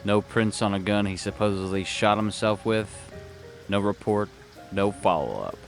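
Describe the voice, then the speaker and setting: A man playing a hard-boiled, world-weary private detective, narrating a noir audio drama in a terse deadpan with a gravelly voice. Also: lowish voice, moderate pace, faint music behind, lightly acted. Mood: brooding.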